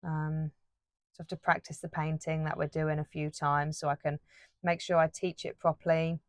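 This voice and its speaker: A woman chats away while painting, looping back with fillers and restarts. Her voice sits a touch low and sounds a bit croaky. The sound is dry and close, recorded on a phone. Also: flat delivery, moderate pace, dry recording. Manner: rambling, chatty, many fillers and restarts. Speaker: art YouTuber. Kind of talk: casual chat while painting.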